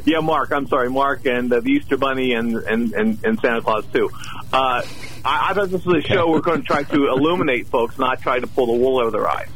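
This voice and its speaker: A man's voice: medium pitch, a little fast, fairly bright tone, a little hiss or hum, low-quality recording. A man calling in to a radio talk show, arguing combatively and stumbling over repeated words. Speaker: caller. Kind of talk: call-in radio argument.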